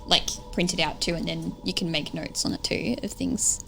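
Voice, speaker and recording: Bright, creaky-voiced woman gamer. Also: lowish voice, moderate pace, slight background noise, low-quality recording. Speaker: player in a tabletop roleplay game. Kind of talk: casual game-table chat.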